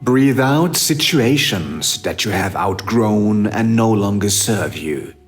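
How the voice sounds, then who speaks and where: Slow, low-pitched man guiding a meditation. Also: expressive, faint music behind. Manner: measured, instructive, with long pauses. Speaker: guided meditation narrator. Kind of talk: scripted guided meditation.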